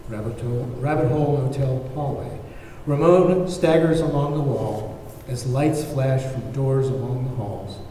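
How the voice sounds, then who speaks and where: A very roomy, reverberant recording of a man narrating a screenplay's stage directions. His voice is mid-pitched and sits away from the mic, with faint noise. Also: warm tone. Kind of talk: scripted narration at a table read. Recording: very echoey, distant, faint noise.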